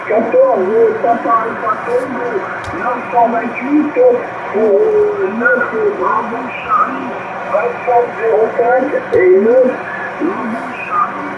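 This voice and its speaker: A man's voice: very high voice, big pitch swings, slow pace. A man calling in over CB radio in a casual exchange, heard through a radio transmission. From northern France. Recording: very noisy, dull, echoey CB radio reception.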